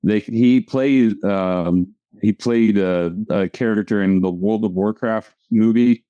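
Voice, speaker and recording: A man's voice: fairly low pitch, slow, warm tone, clean tone, no room sound. A man, a comic strip creator, chatting casually as an interview guest, rambling and often restarting his sentences. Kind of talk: casual conversation. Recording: dull lo-fi audio.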